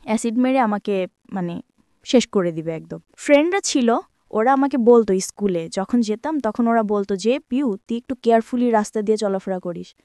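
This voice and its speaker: A woman's voice: fairly high pitch, moderate pace, dark tone, no echo, clean studio sound. A woman of twenty-three with a Bangladeshi Bengali accent, telling her personal life story as an interview guest.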